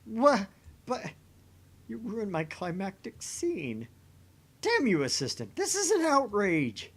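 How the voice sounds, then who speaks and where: Casting: a man as self-important young mad scientist, loud theatrical delivery, highly animated pitch, grand pronouncements. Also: takes it slowly, full character voice. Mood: outraged. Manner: bombastic, grandiose proclamations. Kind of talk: amateur visual novel voice acting.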